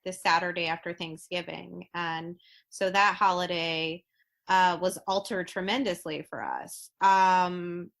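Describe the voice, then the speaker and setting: A woman in her late thirties, a podcast guest speaking in a halting, heartfelt way with many restarts and fillers. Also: fairly low pitch, fairly even intonation, creaky, fairly bright tone. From Florida. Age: 30s. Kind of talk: casual podcast conversation.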